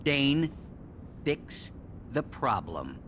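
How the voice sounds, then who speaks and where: An actor playing a stern corporate board member in a video game, speaking formally with disapproval. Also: male voice, medium pitch, big pitch swings, very slow, a bit bright, slight background noise, full character voice. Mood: stern reproach. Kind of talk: video game cutscene acting.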